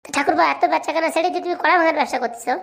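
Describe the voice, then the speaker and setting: A woman's voice: extremely high pitch, racing, creaky. A woman voicing a chatty, helpful shopkeeper neighbour in a comic cartoon, coaxing. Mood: chatty, encouraging.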